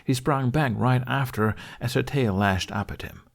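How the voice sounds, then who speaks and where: A man's voice: medium pitch, normal speed, gravelly. A man reading scripted fantasy fiction as an audiobook narrator.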